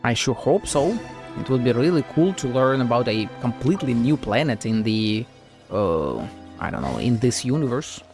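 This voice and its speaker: A man's voice: medium pitch, sing-song, moderate pace. A man streaming a game, thinking aloud in casual playthrough commentary. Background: soft game music.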